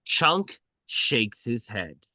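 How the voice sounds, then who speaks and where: Halting male script reader, bright voice. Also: mid-range voice, very animated, no echo. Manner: halting, often stumbles and self-corrects. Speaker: reader of stage directions. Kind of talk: scripted narration at a table read.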